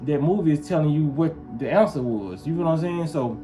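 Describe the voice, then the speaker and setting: A man's voice: a little high, lively intonation, a little fast, dark tone, rough recording. Rambling man vlogging over music. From Memphis, US South. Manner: rambling, keeps asking "you feel what I'm saying". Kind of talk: casual talk to camera.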